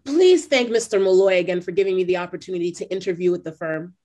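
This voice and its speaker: A woman's voice: fairly low pitch, fast, warm tone, lightly acted. A woman playing a guarded, direct and skeptical young job seeker in an online play reading. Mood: polite. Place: indoors on a video call. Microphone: video call microphone.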